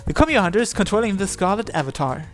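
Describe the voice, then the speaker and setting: A man's voice: high voice, lively intonation, fast. A man reading visual novel story text aloud as narration, sometimes stumbling over a word and repeating it. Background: background music.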